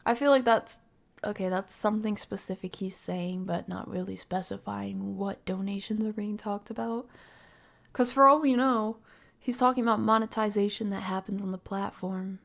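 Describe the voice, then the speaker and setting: A woman with noticeable vocal fry hosts a casual livestream reaction. Her talk is slangy and animated, and she picks things apart out loud as she goes, at an everyday female pitch. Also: normal speed. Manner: animated, slangy, analytical, thinking aloud. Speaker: livestream host reacting to a video. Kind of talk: casual reaction commentary to live viewers.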